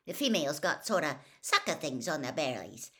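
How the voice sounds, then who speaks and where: A woman voicing a huge, cheerful half-giant gamekeeper in an audiobook, loud and enthusiastic. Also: deep voice, very animated, brisk. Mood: hearty. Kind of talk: audiobook character voice.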